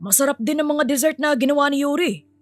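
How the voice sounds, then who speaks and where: A woman's voice: sits high, fast, no echo, soft background music, voice pushed up, close to an everyday voice. A woman voicing a frank, matter-of-fact male butler in a dramatised story. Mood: blunt.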